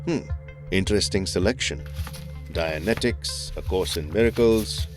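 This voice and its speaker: A man playing a calm, reserved, probing therapist with a measured, formal manner in an audio drama. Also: low-pitched, soft background music.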